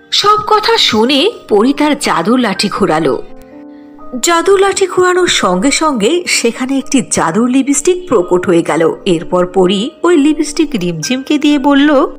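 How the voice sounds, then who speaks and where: A woman's voice: sits high, very animated, unhurried, faint music behind. A woman narrating a scripted fairy tale.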